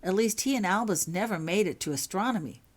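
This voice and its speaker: A woman's voice: medium pitch, fairly quick, pitched above the performer's own voice, lightly acted. A woman voicing an earnest, concerned male wizard professor in a storybook reading, anxious and explanatory.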